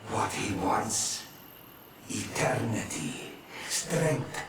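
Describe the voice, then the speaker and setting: A man's voice: on the low side, expressive, very slow, fairly bright tone, full character voice. A man playing an ancient, grieving god of the earth in a video game, speaking solemnly and dramatically. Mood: grave, bitter. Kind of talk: video game cutscene acting. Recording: distant, echoing voice with clear noise.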